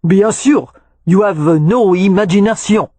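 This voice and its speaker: A woman's voice: medium pitch, moderate pace, warm tone, no echo, pitched above the performer's own voice, natural voice. A woman voicing a male chef with a French accent, superior and teasing.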